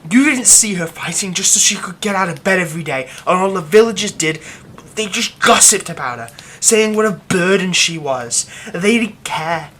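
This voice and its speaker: A man's voice: high-pitched, lively intonation, normal speed. A man playing a bitter, volatile recluse. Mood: bitter, scornful. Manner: soft half-whispered talk breaking into bitter, scornful outbursts. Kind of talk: ASMR audio roleplay.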